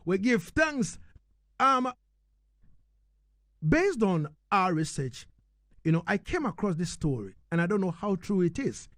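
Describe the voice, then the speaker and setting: A man's voice: high-pitched, big pitch swings, measured pace, pauses often, fairly bright tone, no echo, studio quality. A man hosting a live radio interview, speaking emphatically and directly to his listeners.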